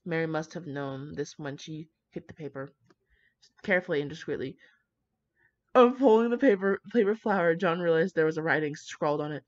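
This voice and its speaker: A woman's voice: low voice, lively intonation, brisk, muffled recording. A young woman reading fan fiction aloud, with brash, slangy, profane casual commentary.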